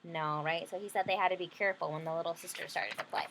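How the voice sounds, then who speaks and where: A woman's voice: lowish voice, steady tone, fast, a bit bright, a little hiss or hum, low-quality recording. A woman leading an interactive read-aloud lesson for children, explaining simply and often checking in with questions. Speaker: teacher reading a picture book to children.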